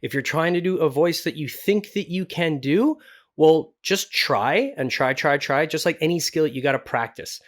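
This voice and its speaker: A man's voice: a little high, animated, moderate pace. Casual, encouraging man giving a tutorial. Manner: encouraging, informal. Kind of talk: casual instructional talk.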